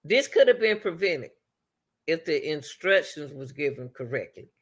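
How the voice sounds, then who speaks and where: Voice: mid-range voice, big pitch swings, normal speed, slightly rough. A man giving impassioned, repetitive, exhorting reaction commentary as the host of an online show.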